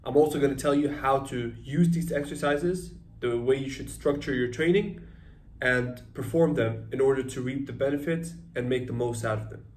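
A man's voice: mid-range voice, normal speed, darkish tone. A young man in his twenties giving casual home workout instructions, upbeat and direct, often checking in with "okay?" and "all right?", recorded by a camera on the floor of a tiled room. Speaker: fitness coach. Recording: echoey room with faint ambience.

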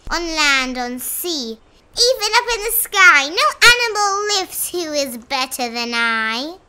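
A woman's voice: very high voice, wildly swooping pitch, slow pace, bright, forceful tone, pitched above the performer's own voice, full character voice. A woman voicing a boastful, self-important male rabbit from a children's storybook, in an emphatic bragging manner. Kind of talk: animated children's storybook character reading.

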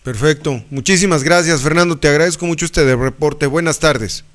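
A man, presenting radio news from a studio mic in a measured, emphatic way. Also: fairly high pitch. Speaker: news anchor. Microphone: studio mic on arm.

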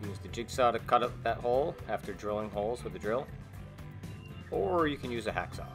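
A man's voice: medium pitch, expressive, a little fast, over music. A young man plainly explaining a DIY speaker build step by step, with some fillers, in a home garage. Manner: plain, matter-of-fact with fillers. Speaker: co-host of a DIY video.

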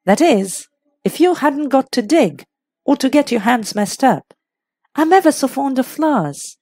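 Woman doing sweet airhead young actress, naive, audiobook, very up n down pitch. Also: sing-song, unhurried, a little mellow, no echo, exaggerated voice. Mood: wistful, naive. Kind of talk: audiobook character voice in a classic mystery.

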